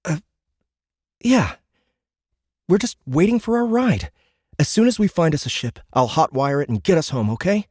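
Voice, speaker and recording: A man's voice: on the high side, big pitch swings, moderate pace, pauses often, a little mellow, breathy edge, no echo, clean studio sound, voice pushed up. A man voicing a teenage boy recruit in an audiobook, slangy and protective with casual bravado. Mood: reassuring. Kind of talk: audiobook character acting.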